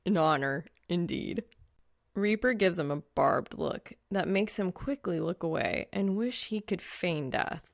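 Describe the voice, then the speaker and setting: A woman reading fiction aloud as an audiobook narrator, with a wry, expressive delivery full of casual slang. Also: lowish voice, unhurried, vocal fry, no echo, studio quality. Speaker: audiobook reader of fan fiction. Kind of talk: scripted story narration.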